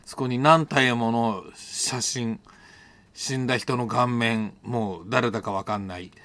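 A middle-aged man with a mid-range voice talks alone, as host of his own story show. He reflects as he narrates, hesitating, thinking out loud and amending his phrasing along the way. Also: mid-range voice, fairly even intonation, unhurried, no room sound. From Japan. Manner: hesitant, thinking aloud, self-correcting. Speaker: host telling personal stories on an audio show. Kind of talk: unscripted reflective storytelling monologue.

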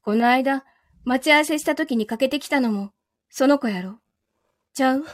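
A woman's voice: sits high, moderate pace, dry recording, close to an everyday voice. A woman playing a betrayed, possessive young lover in a live audio drama, with a Kansai Japanese accent and a controlled, coldly teasing manner. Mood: cold, probing.

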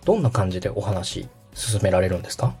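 A man's voice: deep voice, lively intonation, fast talker, creaky, darkish tone, husky, soft background music. A man hosting a podcast, interviewing and coaching a guest in a polite, instructive conversational manner. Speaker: podcast host, radio director coaching guest.

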